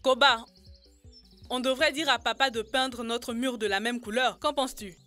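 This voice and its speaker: A woman's voice: on the high side, steady tone, moderate pace, bright, forceful tone, soft background music. A woman voicing an eager, earnest child in an educational cartoon dub. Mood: pleased, scheming. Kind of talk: dubbed educational cartoon acting.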